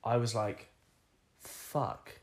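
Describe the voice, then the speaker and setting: A man with a croaky voice, co-hosting a casual film review podcast and rambling through anecdotes with frequent ums and laughs. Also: lowish voice, steady tone, extremely slow, darkish tone. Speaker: podcast co-host.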